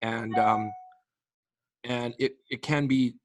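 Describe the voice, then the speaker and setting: Guest musician, male, late forties, mid-pitched, talks eagerly in loose run-on sentences, dry close sound. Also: fairly even intonation, slow, no room sound, poor audio. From Canadian prairies. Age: late 40s. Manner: enthusiastic, rambling with frequent restarts. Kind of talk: casual interview chat.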